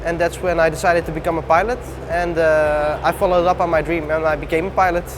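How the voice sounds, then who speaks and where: A man in his thirties, from the Netherlands, speaks a little high, earnest and paced by uhs. Clear background noise runs behind him. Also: little pitch movement, fairly quick. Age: 30s. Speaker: pilot trainee. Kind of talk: interview testimonial.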